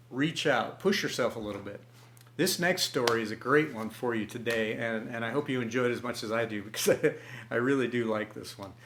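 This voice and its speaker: A man telling stories at home, talking in a folksy, encouraging way with many pauses. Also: medium pitch, a bit bright, slight background noise. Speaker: storyteller. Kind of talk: home storytelling video. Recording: echoey room.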